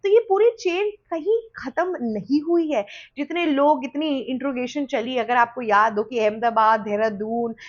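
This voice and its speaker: Indian lady doing news commentary, high voice, emphatic n chatty, bit of muffled lo-fi sound. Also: measured pace, hardly any pauses. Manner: emphatic, Hindi mixed with English words. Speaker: news presenter. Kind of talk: explanatory news commentary, conversational.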